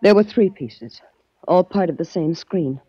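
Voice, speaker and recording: A woman's voice: lowish voice, unhurried, warm tone, breathy edge, no room sound. An actress playing a frightened young woman in a 1940s radio mystery. She sounds tense. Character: frightened young woman hiding from her father's killer. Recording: dull, dry old radio recording.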